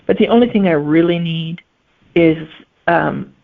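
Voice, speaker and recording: A middle-aged woman with a gravelly, creaky voice, talking as a guest author. She answers in an unhurried, thoughtful way and often pauses to find her words. The sound is thin and phone-like. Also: on the low side. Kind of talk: conversational interview answers. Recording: phone-like remote line.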